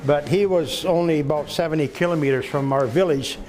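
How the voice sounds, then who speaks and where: A man's voice: a little high, brisk, a little mellow, low-quality recording. A man in his seventies from Belgium, chatting casually in a folksy, agreeable way while filming a visit. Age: 70s. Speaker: home videographer and visitor chatting with a veteran. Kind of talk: casual chat.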